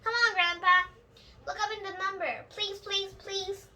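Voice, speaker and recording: A young female reader voicing an excitable, bossy little kindergarten girl from a children's storybook, exclaiming and insistently repeating words. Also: extremely high pitch, a little slow, pitched above the performer's own voice, full character voice. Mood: pleading. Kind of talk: children's storybook read-aloud.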